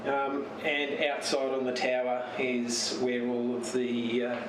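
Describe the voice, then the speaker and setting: A man giving a casual, unscripted show-and-tell as a radio hobbyist, speaking haltingly and searching for words. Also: medium pitch. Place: home radio shack. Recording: roomy, distant mic with steady noise.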